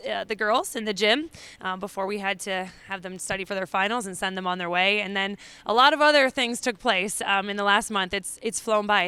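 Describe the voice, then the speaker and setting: A woman's voice: mid-range voice, pushed and bright, clear, resonant tone, dry recording. An American woman, a college basketball head coach, talking enthusiastically and conversationally in a sit-down interview.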